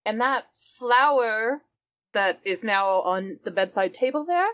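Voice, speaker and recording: A woman's voice: fairly high pitch, lively intonation, slow pace, a bit bright. A woman improvising casually in character as a tabletop game player. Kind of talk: casual improvised role-play.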